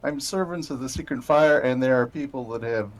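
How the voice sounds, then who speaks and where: A man's voice: medium pitch, brisk, rough recording. A man in a group gaming chat, speaking casually with a joking, anecdotal style. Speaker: player in a group gaming stream.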